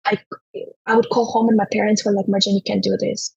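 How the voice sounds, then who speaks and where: A young woman from Turkmenistan with a Turkmen accent, telling her personal story as an interview guest in a halting way, with many "you know" fillers. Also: medium pitch, flat delivery, gravelly, a little mellow, breathy edge, no room sound, dull audio.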